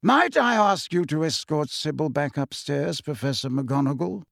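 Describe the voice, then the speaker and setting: A man voicing a calm, courteous old male wizard headmaster who speaks pleasantly but firmly. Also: on the high side, moderate pace, creaky, dry recording, clean studio sound.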